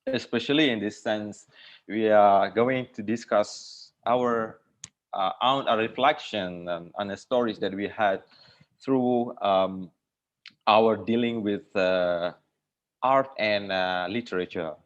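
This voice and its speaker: A man with an Indonesian accent giving semi-formal opening remarks as moderator, measured, hesitant and wordy. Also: fairly low pitch. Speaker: guest host and moderator of a conversation. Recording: slightly dull lo-fi online call.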